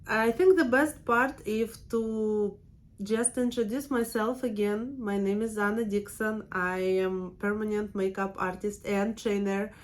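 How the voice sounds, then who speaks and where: A woman's voice: medium pitch, fairly even intonation, a little slow. A woman in her thirties from Siberia with a strong Russian accent, chatting casually in a personal vlog and laughing often. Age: 30s. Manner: chatty and warm, laughs often, many fillers. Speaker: permanent makeup trainer and channel host.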